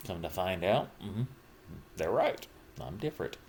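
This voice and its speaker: A middle-aged man talking casually and reflectively about himself, in halting, broken phrases with trailing pauses. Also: low voice, sing-song, darkish tone, a little hiss or hum. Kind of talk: casual personal monologue, rambling reflection.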